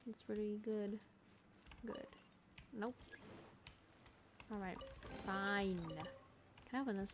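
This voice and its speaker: Slow, casual woman gamer, noisy recording. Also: mid-range voice, fairly even intonation. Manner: muttering self-talk with exasperated exclamations. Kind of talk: casual gameplay commentary and reactions.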